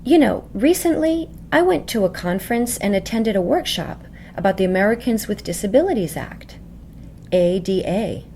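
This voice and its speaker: A woman's voice: medium pitch, expressive, moderate pace, warm tone, natural voice. A woman voicing an earnest, insistent deaf patient in an educational dramatization. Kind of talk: voiced dialogue and scripted explanation in an educational video. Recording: faint background noise.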